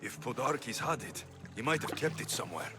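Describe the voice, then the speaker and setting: An actor playing a calm, direct male mercenary hero in a game cutscene with music. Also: medium pitch, fairly quick, natural voice. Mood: steady.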